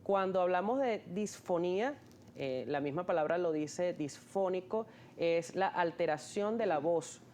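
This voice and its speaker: A man's voice: high-pitched, animated, a little slow, a little mellow, a little hiss or hum. Hesitant doctor, a man explaining patiently. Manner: hesitant, many restarts and fillers. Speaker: ear, nose and throat doctor. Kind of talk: explanatory interview answers.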